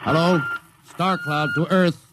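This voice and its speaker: Wide-swinging 1950s radio starship captain. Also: male voice, high voice, huge pitch swings, unhurried, exaggerated voice. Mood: commanding, tense. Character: seasoned, gruff but fair male starship captain. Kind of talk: 1950s radio drama acting. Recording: 1950s radio broadcast, music behind.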